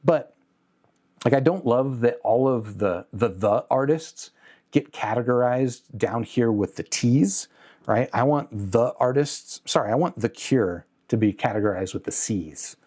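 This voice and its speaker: A man's voice: medium pitch, measured pace, darkish tone. A man presenting a product review in a casual, unscripted way, conversational and candid, with a mildly critical bent.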